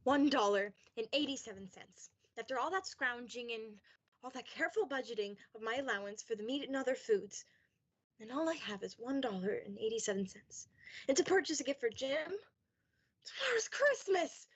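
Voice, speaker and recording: A woman's voice: on the high side, lively intonation, normal speed, husky. A young woman playing a devoted, anxious young wife in a period radio play, with an earnest, dramatic delivery. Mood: dismayed. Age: young adult.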